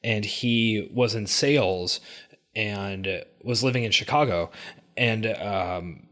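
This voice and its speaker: A male podcast host with a fairly low voice. He talks in a relaxed, chatty way and often restarts his sentences mid-thought. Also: slow pace. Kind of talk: casual interview conversation.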